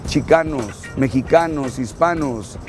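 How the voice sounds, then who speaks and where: A man's voice: mid-range voice, measured pace. A man giving earnest, reflective scripted documentary narration. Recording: narration over loud music.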